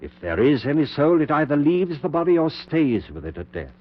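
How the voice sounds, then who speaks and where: An actor playing an obsessed middle-aged surgeon in a 1940s radio horror drama, giving intense, clipped, commanding orders. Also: male voice, fairly high pitch, big pitch swings, a little fast. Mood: fervent, insistent. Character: obsessed middle-aged male surgeon reviving his dead wife. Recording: muffled, noisy, humming 1940s radio recording.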